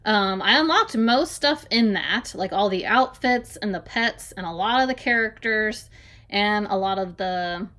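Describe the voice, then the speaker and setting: A young adult woman reviewing tablet game apps in a relaxed, hesitant way, filling pauses with um and like while filming her screen at home. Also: mid-range voice. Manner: hesitant, many ums and likes. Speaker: app reviewer. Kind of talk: casual screen-recorded app review. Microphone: phone or camera filming a tablet screen.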